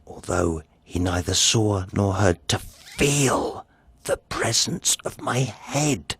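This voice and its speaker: A man's voice: on the low side, lively intonation, slightly rough, no room sound, heavily acted. A man playing a nervous, obsessive madman confessing a murder, in a theatrical gothic horror monologue. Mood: dark, sinister. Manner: theatrical, slow, swinging from hushed to agitated.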